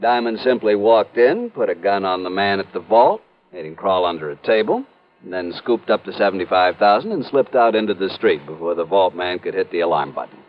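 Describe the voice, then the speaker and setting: On a muffled vintage radio recording, an earnest American insurance executive. The voice sits fairly low but moves around expressively, businesslike and emphatic as it lays things out. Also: male voice, lively intonation, brisk. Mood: emphatic, concerned. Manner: emphatic, explanatory. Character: earnest businesslike male insurance company official. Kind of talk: 1950s radio drama acting. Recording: dull old radio recording.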